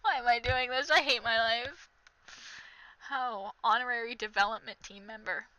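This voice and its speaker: Young woman ranting sarcastically over what she reads, kinda nasal, bright sharp voice. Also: fairly high pitch, steady tone, normal speed, rough recording. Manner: mocking, exasperated, reads aloud then jabs. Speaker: commentary vlogger. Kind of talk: sarcastic reaction commentary.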